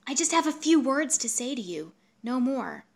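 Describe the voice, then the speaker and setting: A woman's voice: high-pitched, sing-song, normal speed, pitched above the performer's own voice. Melodramatic, self-important male suitor, performed by a female reader, insistent and pleading, for literary storytelling. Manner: insistent, grandiose, wheedling.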